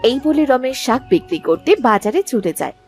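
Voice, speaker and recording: A woman's voice: on the high side, sing-song, fairly quick, a little mellow, soft background music. A woman narrating a scripted children's story.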